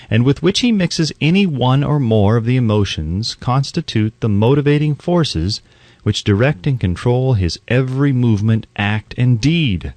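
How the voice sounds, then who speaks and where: Expressive man narrating an audiobook. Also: medium pitch, no echo. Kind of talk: scripted audiobook narration of a self-help book.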